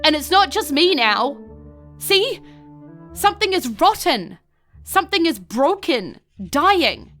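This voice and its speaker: A woman's voice: sits high, big pitch swings, takes it slowly, a bit bright, faint music behind, pitched above the performer's own voice, exaggerated voice. A woman playing an anxious male council member who pleads in a frustrated, agitated manner. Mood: anxious pleading. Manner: agitated, sighing.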